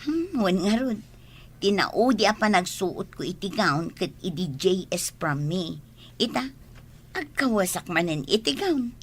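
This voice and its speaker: An actress with an Ilocano Filipino accent playing a modest, thoughtful daughter in a radio drama. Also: female voice, mid-range voice, big pitch swings, measured pace, breathy edge. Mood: reflective. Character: modest, thoughtful daughter readying for a school reunion.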